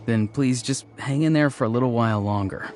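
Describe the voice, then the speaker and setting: Man playing a soft-spoken polite young man. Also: mid-range voice, close to an everyday voice. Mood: calm. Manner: soft-spoken, gentle and measured. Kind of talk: video game cutscene dialogue. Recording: game music behind voice.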